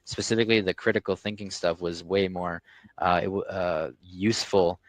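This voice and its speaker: A man's voice: sits low, fairly even intonation, moderate pace, no room sound. A young man, a guest on a podcast, talking casually about his business and life, with many restarts and fillers. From Canada. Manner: talkative, frequent restarts and fillers. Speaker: guest, founder of a restaurant tech company.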